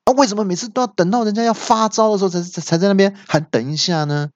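A man's voice: fairly high pitch, very animated, unhurried, darkish tone, no room sound, dull audio, voice pushed up. A man voicing a hot-tempered, cocky monster fighter, given to exclamations and whining complaints, in a told martial-arts story. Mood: exasperated.

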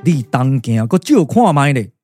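A man's voice: mid-range voice, big pitch swings, normal speed, a little mellow, soft background music. A man with a Taiwanese accent playing a composed, commanding strategist and elder brother in a puppet-theatre radio drama, declaiming in a stylised way. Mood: questioning, patient.

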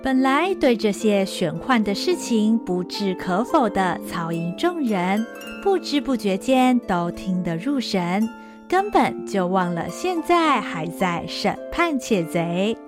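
A woman's voice: medium pitch, sing-song, takes it slowly, over music. A woman with a Taiwanese Mandarin accent narrating a radio drama with vivid storytelling.

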